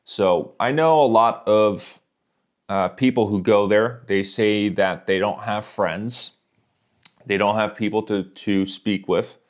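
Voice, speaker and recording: A man teaching a slow English listening lesson, speaking deliberately and repeating words for learners. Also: on the low side.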